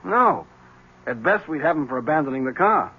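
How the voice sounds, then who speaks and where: An actor playing a weary police lieutenant who talks in a dry, matter-of-fact way in a 1970s radio drama. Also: male voice, fairly high pitch, very animated, normal speed, slightly rough, exaggerated voice. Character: weary male police lieutenant at his desk. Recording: muffled old radio broadcast with hiss.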